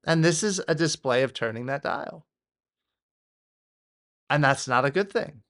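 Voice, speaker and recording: An American man giving off-the-cuff political commentary in a halting, repetitive, exasperated way. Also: on the high side, lively intonation, a bit bright.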